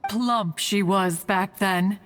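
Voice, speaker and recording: A woman's voice: mid-range voice, takes it slowly, very noisy, natural voice. Woman voicing a stately ancient immortal bird spirit. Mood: fond, teasing. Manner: stately, archaic, calls self "one". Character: Cloud Retainer, haughty, fond, ancient female immortal bird spirit. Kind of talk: video game voice acting.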